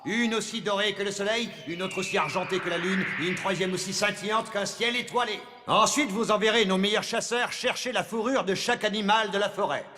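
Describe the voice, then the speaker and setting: A man's voice: sits high, fairly quick, few pauses, noisy background, lightly acted. A man voicing an unctuous, imperious royal adviser in a French cartoon dub, formal and pompous. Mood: imperious, menacing.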